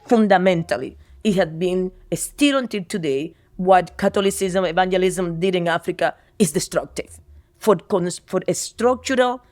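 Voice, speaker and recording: A woman's voice: lowish voice, expressive, moderate pace, darkish tone. Cuban-accented woman, earnest and searching. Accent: Cuban Spanish. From Cuba. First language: Spanish. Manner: earnest, searching for words, many restarts. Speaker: guest artist. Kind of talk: reflective interview talk.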